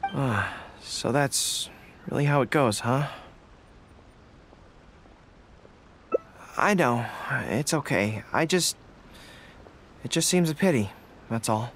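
A man playing a caring, steadfast young dog-eared general in a video game, sincere and gently reassuring. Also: mid-range voice, animated, measured pace, a little hiss or hum. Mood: wistful. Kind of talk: scripted video game voice acting.

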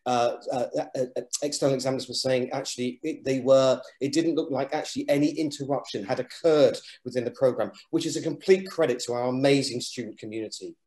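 Male lecturer, halting delivery with fillers, roomy sound. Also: medium pitch, steady tone, a little mellow. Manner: halting, with many fillers and restarts. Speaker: architecture lecturer presenting an open day. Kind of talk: presentation over slides.

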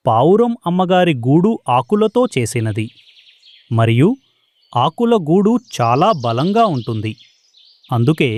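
A man's voice: a little high, darkish tone, no echo. A man voicing a worried, practical male sparrow who pleads earnestly in a children's cartoon story. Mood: explaining.

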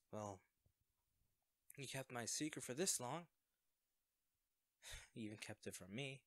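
Man playing a sheepish, animated young superhero. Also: medium pitch, dry recording. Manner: hesitant, sighing, sheepish. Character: young male superhero, secretly the Blur, sheepish and caring best friend. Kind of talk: audio roleplay acting, conversational.

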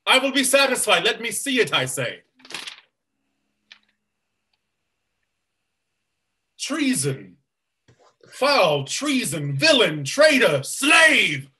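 A man's voice: high-pitched, sing-song, sharp tone, full character voice. A man playing a grave old duke. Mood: alarmed. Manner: measured storytelling, bursting into alarm. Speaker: actor in a staged reading. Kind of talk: Shakespeare verse reading. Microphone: webcam on a video call.

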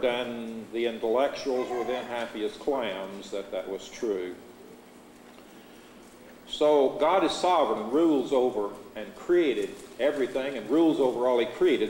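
A middle-aged American man preaches from the pulpit in a measured, earnest voice of middle pitch. His voice carries a long reverb from the church sanctuary, recorded on a lapel mic with a faint hum behind it. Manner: measured, earnest preaching with steady Scripture reading. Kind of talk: sermon. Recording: echoey church hall, faint room noise.

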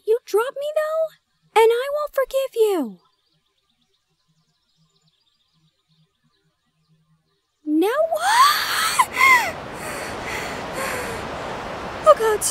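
A woman's voice: very high-pitched, full character voice. A woman playing a young gorgon in an audio roleplay, shy and flustered but playfully blunt. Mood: frightened. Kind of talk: roleplay audio drama acting.

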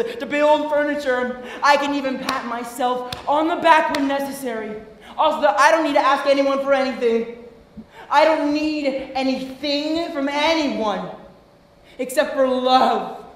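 High-voiced, wounded young man played by a male actor. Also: a bit bright, a little hiss or hum. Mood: proud, defiant. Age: young adult. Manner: emotional, halting repetitions, emphatic. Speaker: student actor. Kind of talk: theatrical stage monologue. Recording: echoey room.

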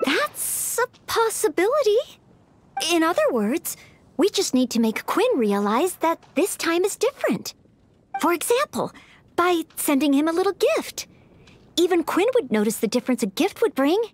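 Earnest, very high-voiced young maid knight. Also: female voice, sing-song, moderate pace, dry recording. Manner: polite, hesitant, apologetic. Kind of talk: anime-style video game dialogue.

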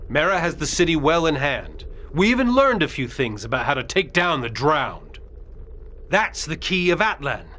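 Earnest, higher-voiced heroic king. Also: very animated, normal speed, pushed and bright, slight background noise. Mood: confident. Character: commanding heroic undersea king, earnest and steady. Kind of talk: video game hero acting.

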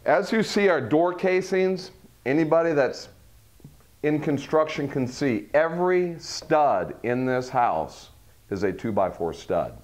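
A man's voice: on the high side, sing-song, measured pace, a little mellow. A middle-aged man leading a walkthrough tour of a model home, listing its features in a salesy way. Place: furnished model home, indoors.

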